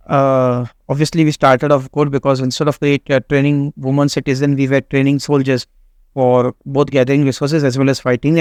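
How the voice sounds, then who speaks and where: A man, not a native English speaker, hosting a game walkthrough in a halting way with many fillers and restarts. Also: medium pitch, darkish tone, clean tone, no room sound. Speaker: gaming channel host. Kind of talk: casual game walkthrough commentary.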